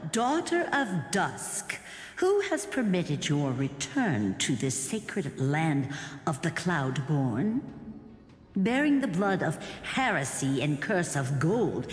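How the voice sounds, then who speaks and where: Stern sorrowful fantasy game priestess, stately and accusing, slow, widely swinging pitch, long reverb. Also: female voice, heavily acted. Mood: stern, condemning. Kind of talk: theatrical fantasy game voice acting. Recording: echoey cavernous hall reverb.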